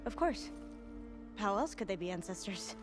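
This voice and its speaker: A woman's voice: mid-range voice, lively intonation, moderate pace, lightly acted. A woman voicing an earnest, curious young diviner in a video game, with formal, reverent phrasing. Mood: solemn. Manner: formal, slightly mystical phrasing. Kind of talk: video game character dialogue. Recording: game music behind the voice. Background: loud game music.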